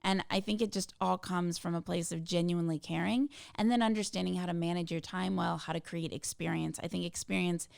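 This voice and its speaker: A woman speaks with a low, gravelly voice that still sounds smooth and resonant. Her answers are earnest and emphatic, the talk of a passionate interview guest. The sound is dry and close. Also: steady tone, fairly quick, hardly any pauses, darkish tone, no room sound. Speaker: tech community founder, podcast guest. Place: hotel suite.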